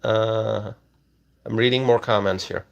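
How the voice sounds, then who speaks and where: Male livestream host from Bangladesh, slow, halting delivery, reads chat comments aloud. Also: lowish voice, fairly even intonation, gravelly. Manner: slow, halting, many ums and uhs.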